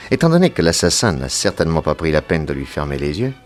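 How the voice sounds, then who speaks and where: An actor playing a composed, authoritative detective in a French radio serial, speaking in a brisk, assured way. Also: male voice, a bass voice, sing-song, faint music behind. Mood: methodical, deductive. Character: famous detective, male, composed, authoritative, methodical.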